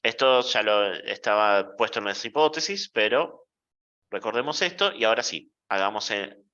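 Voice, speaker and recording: A man giving a university math lecture in a playful, deliberate way, checking in with the class often. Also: lowish voice, animated, sharp tone, dull audio.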